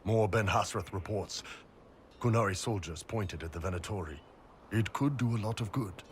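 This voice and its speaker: An actor playing a big, laid-back horned mercenary warrior in a video game, talking bluntly and casually. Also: male voice, on the low side, moderate pace, slight background noise, natural voice. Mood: matter-of-fact.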